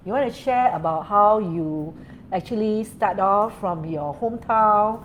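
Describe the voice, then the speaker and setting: A woman's voice: mid-range voice, measured pace, slight background noise. A woman hosting a casual interview as a feng shui consultant, laughing often and switching between English and Mandarin. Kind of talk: casual chat.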